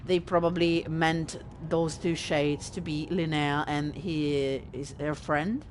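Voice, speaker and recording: Slow, chatty Italian woman gamer. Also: deep voice, fairly even intonation, slight background noise. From Italy. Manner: chatty, self-deprecating, thinks aloud. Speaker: let's-play host. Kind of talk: casual gameplay commentary.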